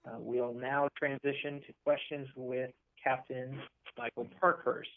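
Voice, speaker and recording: A man with a smooth, clear voice and medium pitch moderates a press call in an orderly style. The sound is thin and phone-like. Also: flat delivery, measured pace. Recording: narrow phone conference line.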